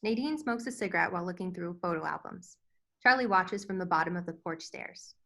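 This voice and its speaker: A woman's voice: on the low side, brisk, creaky, sharp tone. A woman reading stage directions as scripted narration for a screenplay table read.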